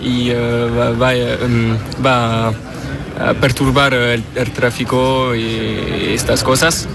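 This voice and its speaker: A young male student answering a street interview outdoors, halting with many fillers and restarts. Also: medium pitch, steady tone, gravelly, crowd noise. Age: young adult. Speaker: student passer-by.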